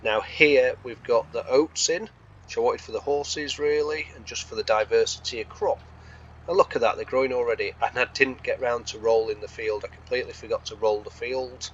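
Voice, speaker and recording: A man with a croaky, slightly hoarse voice gives casual, rambling gameplay commentary, thinking aloud as he hosts. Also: medium pitch, fairly even intonation, normal speed, dull audio, a little hiss or hum. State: slightly hoarse from being unwell. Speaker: host of a gaming series.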